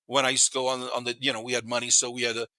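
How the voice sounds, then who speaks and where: Bright, punchy man in his fifties. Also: medium pitch, completely flat delivery, racing, dry recording. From Panama. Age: 50s. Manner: blunt, slangy, profane, repeats words for emphasis. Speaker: podcast guest. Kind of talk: casual chat, storytelling.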